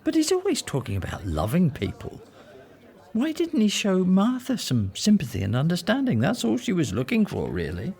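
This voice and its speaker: A man's voice: sits high, huge pitch swings, normal speed, creaky, a little mellow, heavily acted. A man playing a sceptical, needling disciple in a radio play, with a questioning, teasing manner. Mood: challenging. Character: sceptical, needling male disciple with smooth sympathy.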